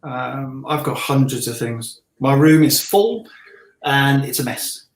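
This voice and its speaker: A man's voice: medium pitch, animated, slow. A middle-aged man chatting casually as a guest, rambling and jokey, talking about his work as an illustrator. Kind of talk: casual chat. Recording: roomy, echoey sound.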